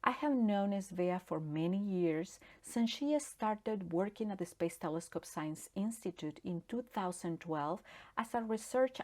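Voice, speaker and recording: A woman's voice: fairly low pitch, a little mellow. A woman host delivering scripted narration to introduce an interview guest and give background.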